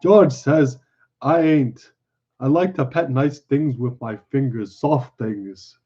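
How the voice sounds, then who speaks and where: A man's voice: medium pitch, takes it slowly, mellow, slightly rough. Casting: male narrator as big simple-minded farmhand type, childlike, rustic, earnest and easily panicked, on a dull recording. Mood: earnest, pleased. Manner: rustic ungrammatical speech, simple earnest wording.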